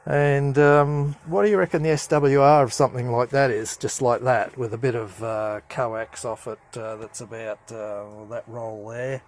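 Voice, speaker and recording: A man with a medium-pitched voice narrates a casual, hands-on hobby demonstration. He talks in a halting way, thinking aloud as he goes. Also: steady tone. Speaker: hobbyist radio presenter.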